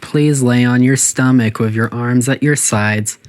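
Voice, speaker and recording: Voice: medium pitch, measured pace, natural voice. A performer voicing a polite but menacing artificial intelligence that runs test chambers, making formal, clinical, passive-aggressive announcements in a video game. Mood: cold, threatening. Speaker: facility announcer.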